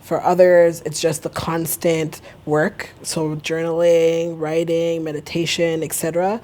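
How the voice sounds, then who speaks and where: Low-voiced easygoing Canadian woman. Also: level tone, a little slow, dark tone. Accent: Canadian English. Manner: easygoing, laughs often. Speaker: guest, beauty brand founder. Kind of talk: casual interview answers.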